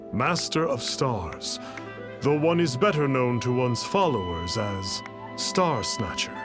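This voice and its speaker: An actor playing a pompous masked man who poses as a lofty immortal and speaks of himself in formal, grandiose terms. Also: medium pitch. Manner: grandiose, refers to himself as "one", slow and formal. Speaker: swindler posing as an immortal.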